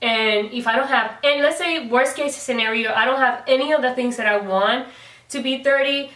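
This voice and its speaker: Woman in her twenties, bright tone, earnest rambling talk, echoey room, far from the mic. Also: high-pitched, moderate pace. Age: 20s. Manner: earnest, rambling, with frequent restarts. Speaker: guest, fashion blogger. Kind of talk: casual chat.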